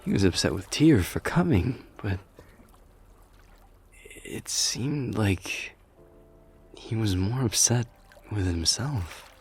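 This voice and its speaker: Male actor as formal, polite fantasy king, low-pitched and unhurried, faintly hesitant, for ASMR roleplay. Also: animated, a little mellow, breathy edge, heavily acted. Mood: pensive, uneasy. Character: courteous, reflective warrior king commanding a fleet. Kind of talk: ASMR audio roleplay acting.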